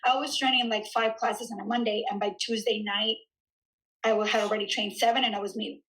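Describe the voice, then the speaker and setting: A woman in her thirties, a fitness studio founder, chatting in an interview and telling her story in an animated way with frequent fillers and laughs. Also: mid-range voice, brisk, low-quality recording. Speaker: fitness studio founder and trainer, podcast guest. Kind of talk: casual conversational interview.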